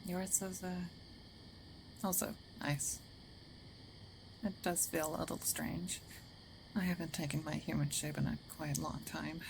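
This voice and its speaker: A gruff female werewolf played by a woman speaks very low, with a gravelly creak. She is a territorial yet caring protector, awkward and hesitant, often sinking into half-whispers. It is an intimate ASMR roleplay with faint ambience behind the voice. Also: background noise. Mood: flustered.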